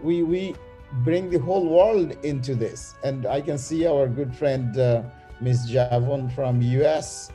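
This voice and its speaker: A man opens a business webinar as its chairman, speaking over a video call. His voice is dark and mellow, and he halts often with filler sounds. Faint music sits behind him. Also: mid-range voice. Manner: halting, many filler sounds. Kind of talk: opening remarks on a webinar.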